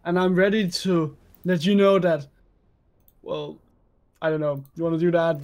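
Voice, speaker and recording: A man's voice: a little high, fairly even intonation, moderate pace, a little mellow. A man with a Danish accent co-casting a game stream, teasing his partner in playful banter. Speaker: esports co-caster. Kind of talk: casual stream commentary.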